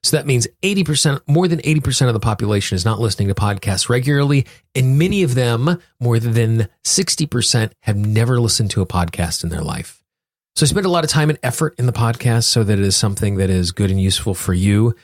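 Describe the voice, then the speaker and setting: A man's voice: mid-range voice, no echo, studio quality. An American man hosting a solo podcast, explaining things and speaking directly to his listeners. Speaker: podcast host.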